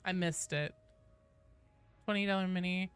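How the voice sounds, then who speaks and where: A woman's voice: fairly low pitch, steady tone, unhurried, clear, resonant tone. A woman livestreaming a game, chatting casually with viewers as she reads out their comments, swearing freely and reacting playfully. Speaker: game livestreamer reading and answering viewer chat. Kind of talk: casual live stream chat.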